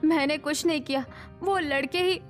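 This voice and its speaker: High-pitched young woman, acted by a woman, defiant and sassy. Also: moderate pace, soft background music, lightly acted. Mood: protesting. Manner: theatrical, indignant. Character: defiant, sassy young modern daughter-in-law. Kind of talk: scripted Hindi animated story dialogue.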